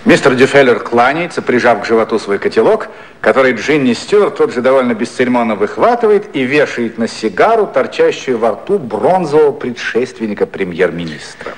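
A man's voice: medium pitch, very animated, fairly quick, natural voice, low-quality recording. A man acting as the wry narrator of a 1950s satirical radio play, reading the stage action theatrically.

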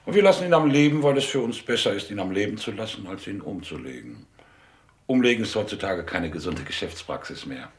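An actor playing a middle-aged, elegant mobster in a German radio drama, businesslike and coolly threatening. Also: male voice, fairly low pitch, sing-song, brisk, slight background noise. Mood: coolly menacing.

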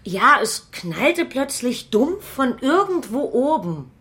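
An actress playing an ordinary bakery worker in a radio crime drama, talking in a hesitant, chatty way. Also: female voice, high-pitched, big pitch swings, slow pace, fairly bright tone, heavily acted. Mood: hesitant, concerned. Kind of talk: naturalistic German radio play dialogue.

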